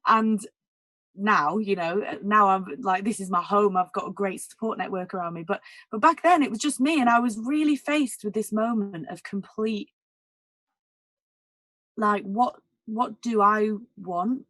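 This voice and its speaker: Husky-voiced woman singer, candid. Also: mid-range voice, measured pace, a bit bright, no echo. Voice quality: naturally husky voice. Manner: candid and emotional, many "like" and "you know" fillers. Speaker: guest, jazz singer. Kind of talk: casual interview conversation.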